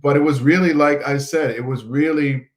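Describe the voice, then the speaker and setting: A man's voice: on the high side, fairly even intonation, moderate pace. A man in his forties from Nova Scotia, a coach speaking earnestly as a podcast guest. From Nova Scotia, Canada. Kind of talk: motivational interview talk.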